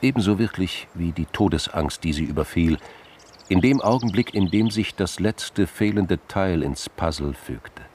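A man narrating a scripted crime radio drama in measured, dramatic literary phrases with pauses. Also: low voice, lively intonation, darkish tone, slight background noise.

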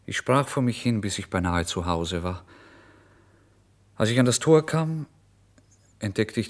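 An actor playing a starving, impulsive male writer in a theatrical, changeable first-person literary monologue for radio. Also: low-pitched. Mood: bitter, self-pitying. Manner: theatrical, swinging from exclamations to hushed whispers. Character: starving, impulsive male writer recounting his misery and brazen lies.

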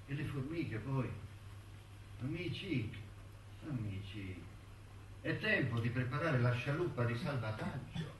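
Stage monologue: male actor as elderly, wistful, bitter man of letters; slow, halting, musing delivery; echoey, distant recording. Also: mid-range voice, animated, a little hiss or hum, lightly acted. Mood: musing, weary, then pleading. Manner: halting, musing, with rhetorical tags and repetitions. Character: elderly male intellectual and ant lover, wistful, bitter, reminiscing. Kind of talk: theatrical monologue in a radio-broadcast play.

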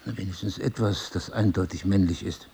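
An actor playing a bewildered, skeptical husband with amnesia, wryly self-mocking and probing in a naturalistic relationship drama. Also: male voice, low-pitched, steady tone, a little fast, mellow, husky, exaggerated voice. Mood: wry, puzzled. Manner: probing, sardonic, questioning. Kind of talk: two-hander relationship drama acting, naturalistic.

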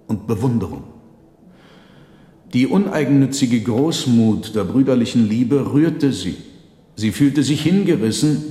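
Theatrical conjurer, radio play storyteller, measured and dramatic, formal diction, long echoing reverb. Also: male voice, medium pitch, dark tone. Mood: sorrowful, intense. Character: theatrical trickster conjurer recounting his tale, deliberate and eloquent. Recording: echoey reverberant hall sound.

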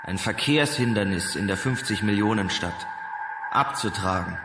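A man's voice: on the low side, normal speed, over music. A man reciting poetic scripted prose as a narrator in an audio drama.

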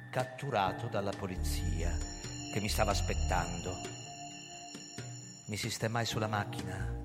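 A weary old police chief narrates in a radio drama, proud and lucid. His delivery is theatrical and emphatic, set in long reverb with loud music underneath. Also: lowish voice. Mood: grim, shaken. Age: older.

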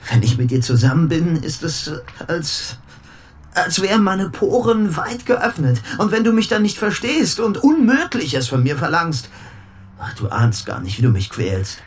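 An actor playing an obsessive, agitated lovesick man with a secret in a radio play, speaking haltingly and intensely. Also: fairly high pitch, very animated, slightly rough, heavily acted. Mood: pleading, desperate. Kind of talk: German radio play acting.

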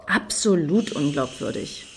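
An actress playing a scheming, grandly solemn woman in a satirical radio play, speaking in deliberate, lofty phrases. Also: low-pitched, big pitch swings, gravelly, heavily acted. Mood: defiant.

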